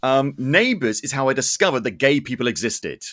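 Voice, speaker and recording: A man hosts a casual interview show. His mid-pitched voice moves up and down in a very animated way. He talks in a self-deprecating, tangent-prone manner. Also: moderate pace. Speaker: podcast host.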